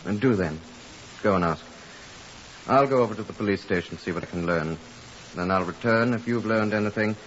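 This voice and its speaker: A man plays a police superintendent in an old radio drama. His voice is deep and his delivery is dry, formal and measured, like an official giving a report. The recording is muffled, with a steady hiss. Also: natural voice. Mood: brisk. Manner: formal, measured, matter-of-fact. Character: Scotland Yard superintendent, brisk, dry, middle-aged man. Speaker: narrating detective. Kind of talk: 1950s radio drama acting, first-person case narration.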